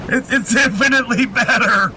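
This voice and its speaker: A man co-hosting a podcast with casual joking banter and dry, absurd humour. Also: moderate pace. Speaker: podcast co-host. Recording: noisy recording with low rumble.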